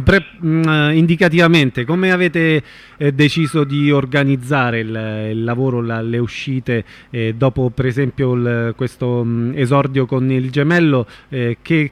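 A man hosting a casual live radio interview, feeling his way haltingly through his questions. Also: medium pitch, steady tone.

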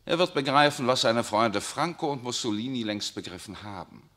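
An actor playing an urbane, worldly papal nuncio in a 1960s radio drama, speaking with a suave, ironic, lecturing manner. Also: male voice, mid-range voice, lively intonation, moderate pace, a bit bright, close to an everyday voice.

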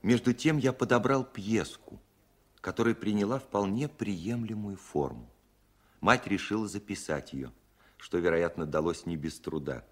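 Radio-play narrator: a reflective composer recalling boyhood, low measured voice, faint music behind. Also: male voice. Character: grown composer narrating memories of his childhood.

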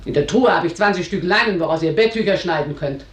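A woman's voice: lowish voice, quick pace, reverberant, slight background noise, lightly acted. An actress playing a domineering, stern middle-aged widow who rules her house, speaking in curt, haughty commands in a German radio drama. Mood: commanding.

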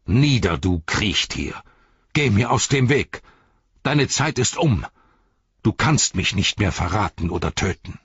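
A man's voice: lowish voice, takes it slowly, breathy edge, dry recording, muffled recording, heavily acted. A man voicing a resolute, pitiless hero in a storybook, speaking imperiously and solemnly. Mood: commanding, wrathful. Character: stern, commanding male hero, pitiless, resolute.

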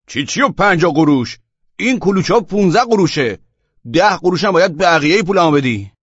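A man voicing an indignant young Turkish man who shouts accusations, in a narrated story. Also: fairly high pitch, lively intonation, slightly rough, pitched above the performer's own voice. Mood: angry, confrontational. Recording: roomy echo, dull lo-fi audio.

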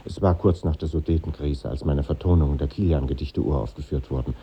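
A man's voice: very deep voice, fast, breathy edge, muffled recording, exaggerated voice. An actor playing a sober, reflective man narrating his memories in a 1950s German radio drama.

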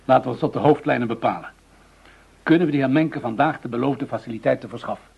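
An actor in a Dutch radio play playing a senior official who mediates in a formal, measured, businesslike manner. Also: male voice, medium pitch, creaky, breathy edge. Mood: calm, firm. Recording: dull, muffled radio drama recording.

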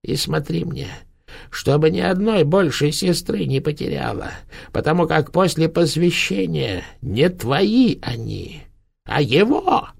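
A rotting old witch grandmother, as a male narrator puts her on, her voice rattling like old tin and thick with gravel. She sneers and scolds, her high voice swinging wildly up and down. It comes out husky and hoarse, spiteful from the grave. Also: big pitch swings, unhurried, full character voice. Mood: commanding. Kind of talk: audiobook narration.

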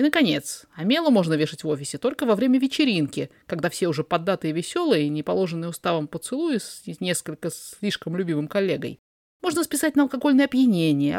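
A narrator reading a fiction story aloud in an expressive, dramatized way. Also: medium pitch, dry recording, studio quality. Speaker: podfic reader, narrator.

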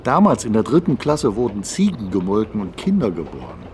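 A man's voice: fairly low pitch, wildly swooping pitch, a little fast, darkish tone. A man acting a talkative commentator, speaking conversationally in a radio play. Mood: amazed. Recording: faint train noise behind.

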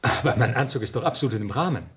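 An actor playing a polite, hesitant young police constable in a 1970s radio crime drama, deferential and easily flustered. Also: male voice, medium pitch, animated, fast talker, a bit bright, natural voice. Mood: puzzled.

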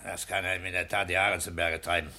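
An actor playing a pompous, self-satisfied petty-bourgeois husband and landlord in a declamatory 1960s German radio play style. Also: male voice, low-pitched, little pitch movement, fast talker, pushed and bright, full character voice. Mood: indignant. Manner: pompous, declamatory, bullying joviality.

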